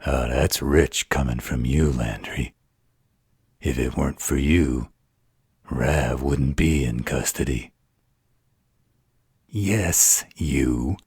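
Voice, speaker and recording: A man's voice: very deep voice, takes it slowly, pauses often, a little mellow, slightly rough, dry recording, clean studio sound, heavily acted. A man playing a snarky, distrustful supernatural fighter in an audio roleplay, with a mocking drawl. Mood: accusing.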